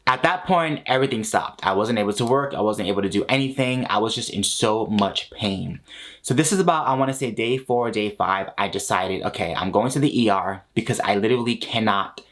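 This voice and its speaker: Guy in his 30s vlogging at his desk, lowish voice, really expressive, small room. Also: brisk. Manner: animated, expressive, laughs between lines. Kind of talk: casual storytelling to camera. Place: small home studio room with shelves.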